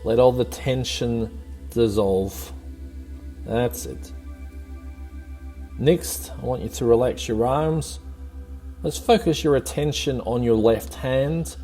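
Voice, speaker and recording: A man leading a guided meditation, giving calm, gently encouraging, unhurried instructions in a soothing way. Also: mid-range voice, soft background music.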